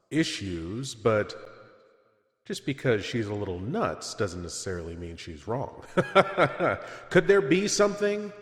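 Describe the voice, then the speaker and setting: A man hosting a horror show with wry, tongue-in-cheek, drawn-out delivery. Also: mid-range voice. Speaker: show host. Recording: echoey, long cavernous reverb.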